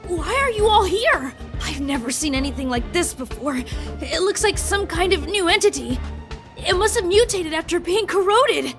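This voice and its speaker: A woman's voice: very high-pitched, animated, moderate pace, over music. A woman voicing an earnest, deferential boy guard in an anime-style video game, speaking politely and hesitantly. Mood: surprised, alert.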